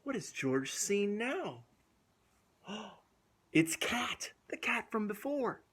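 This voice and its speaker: A man reading a picture book aloud to young children in an animated, drawn-out way, asking questions and dropping into whispers. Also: high voice, slightly rough. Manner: drawn-out, asks the listeners questions, drops to whispers. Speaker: children's storytime host.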